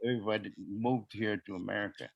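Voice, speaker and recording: An older man, sharing family memories on a video call in a halting way, with many pauses and filler sounds as he searches for names. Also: fairly low pitch, fairly even intonation, gravelly, no echo, muffled recording.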